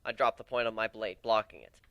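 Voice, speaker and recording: A man's voice: lowish voice, fairly quick, fairly bright tone, no echo, lo-fi sound. A man narrating a first-person fantasy story as an amateur dramatic reading.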